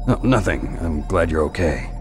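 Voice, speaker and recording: An actor playing a gruff, curt, no-nonsense soldier in a video game, speaking in terse, dry, commanding phrases with a gravelly growl. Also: male voice, very deep voice, animated, moderate pace, over music. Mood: dry, guarded. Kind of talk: video game cutscene acting.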